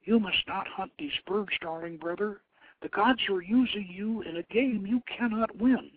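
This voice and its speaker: A man's voice: high-pitched, normal speed, voice pushed up, close to an everyday voice. A man playing a worried, protective princess in a storybook reading, earnest and warning, over a tinny phone line. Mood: pleading. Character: worried, protective sister of a prince, pleading young woman.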